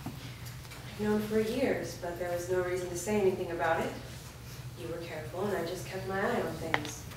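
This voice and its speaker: A woman's voice: fairly low pitch, steady tone, moderate pace, lightly acted. A woman playing a determined, guarded grown daughter in a staged fantasy drama reading. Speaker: actor. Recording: echoey room, distant mic, background noise.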